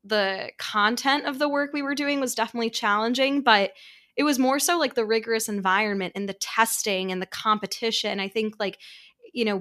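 A woman's voice: on the high side, bright, forceful tone. A woman from New York hosting an interview, asking friendly, thoughtful questions. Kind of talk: conversational interview.

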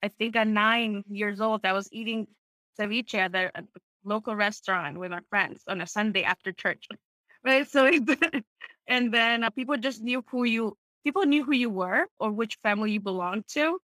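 A woman speaks at a middling pitch. She shares personal stories in a conversational, thoughtful way, open and inclined to seek agreement as she goes. The sound is dry, with hardly any room in it. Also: normal speed, pushed and bright, dry recording, poor audio. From Peru, small town. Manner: reflective, open, often seeks agreement with tags.

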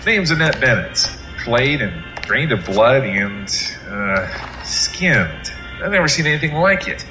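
A man acting a gruff, sarcastic police captain in a radio drama. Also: mid-range voice, sing-song, a little slow, music in the background, poor audio.

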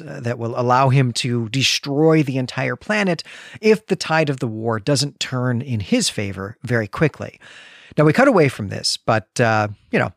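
Man calmly recapping a story, dry sound. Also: medium pitch, no echo. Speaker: podcast co-host. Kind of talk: conversational story recap, semi-prepared.

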